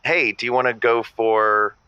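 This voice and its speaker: A man's voice: medium pitch, steady tone, normal speed, bright, forceful tone, smooth, dry recording, dull audio, natural voice. A man playing a fussy, pedantic boyfriend in an audio comedy, precise and argumentative as he corrects his partner. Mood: recalling, precise. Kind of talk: scripted audio comedy drama.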